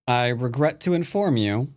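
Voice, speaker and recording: A man's voice: medium pitch, animated, moderate pace. Playful man trash-talking his game. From United States. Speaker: streamer and Go teacher. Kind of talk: live game commentary.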